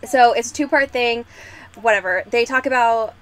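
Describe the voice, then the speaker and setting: A woman's voice: a little high, normal speed. A young woman hosting a podcast in a casual, sweary way, peppering her talk with "like". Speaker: podcast host.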